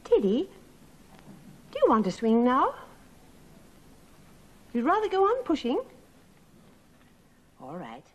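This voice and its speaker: A woman playing a gentle, playful storyteller in a 1950s preschool puppet show. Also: sits high, wildly swooping pitch, takes it slowly, pauses often, husky, heavily acted. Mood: playful, soothing.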